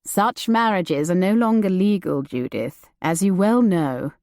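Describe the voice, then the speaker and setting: A woman voicing a reserved young gentleman, curt and dry. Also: fairly low pitch, animated, measured pace, no echo.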